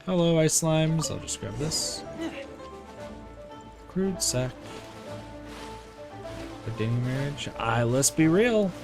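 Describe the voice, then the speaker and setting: A man's voice: fairly high pitch, lively intonation, slow, gravelly, pauses often. A man streaming a video game, commenting casually and jokingly as he reacts aloud. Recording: game music behind voice. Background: game music.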